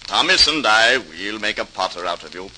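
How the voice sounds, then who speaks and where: A proud, kindly tradesman father in an old radio play. His voice is on the low side but very forceful, hearty and fond, with faint hiss on the recording. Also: lively intonation, moderate pace, poor audio. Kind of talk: 1940s radio acting. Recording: hissy 1940s radio recording.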